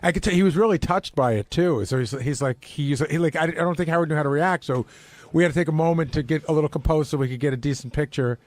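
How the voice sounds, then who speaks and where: A man's voice: a little high, fast, no echo. A man telling a story in casual radio chat as a show staffer.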